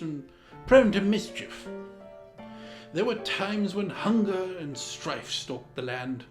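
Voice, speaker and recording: Man putting on a pompous high-pitched lord. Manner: pompous, dramatic, deliberate diction. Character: pompous Georgian English lord reading a mad royal tale. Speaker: storyteller, host in the guise of a lord. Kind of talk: theatrical children's storybook narration. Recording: roomy echo, music underneath.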